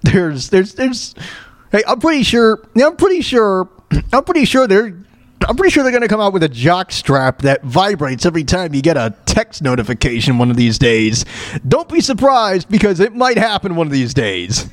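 A man's voice: sits high, big pitch swings, moderate pace, dry recording. A man chatting casually as a podcast host, rambling and repeating his phrases as he reacts. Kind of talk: casual chat, reacting to a video.

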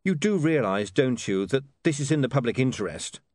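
A man voicing an earnest, brisk young male reporter in an audiobook. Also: medium pitch, expressive, darkish tone, no room sound, studio quality, voice pushed up, lightly acted. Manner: earnest, brisk, slightly flustered.

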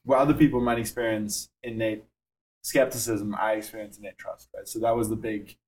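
A man's voice: on the low side, steady tone, a little mellow, poor audio. South African man explaining at length. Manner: explanatory, measured, with frequent fillers. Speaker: startup founder. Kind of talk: podcast interview.

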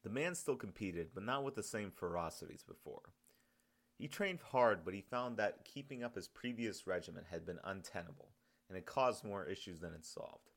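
A man's voice: low voice, animated, moderate pace, a bit bright. A man reading his own story as scripted audiobook narration.

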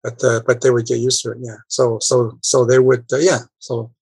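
A man talking in a casual group discussion, halting and full of fillers and restarts. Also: mid-range voice, level tone, creaky, a little mellow, husky, no room sound. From abroad. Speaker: discussion participant.

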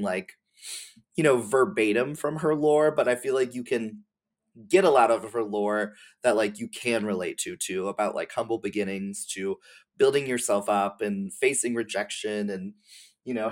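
A man talking casually as a podcast guest, in an effusive, slangy way. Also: fairly low pitch. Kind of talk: casual chat.

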